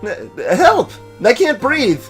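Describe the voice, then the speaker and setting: A man voicing a panicking trapped man in a game, with frantic, gasping shouts. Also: very high-pitched, huge pitch swings, slow pace, faint music behind, voice pushed up, exaggerated voice. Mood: panicked.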